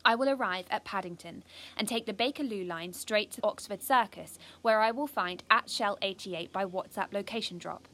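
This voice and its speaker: Bright-voiced, earnest British teenage schoolgirl. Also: mid-range voice, normal speed. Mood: subdued, resolute. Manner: confiding, conversational, earnest. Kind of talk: first-person audio drama monologue.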